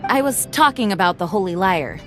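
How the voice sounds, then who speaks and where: A woman's voice: mid-range voice, expressive, brisk, over music, natural voice. A woman voicing a dutiful, composed young knight commander in a video game, formal and earnest. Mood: resolute.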